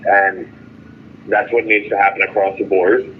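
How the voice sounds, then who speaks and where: Guy calling from jail, kinda low voice, thin phone sound w some noise, halting n fed up. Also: little pitch movement. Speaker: jailed caller. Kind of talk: casual phone update to a vigil. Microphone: telephone. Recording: tinny noisy phone line.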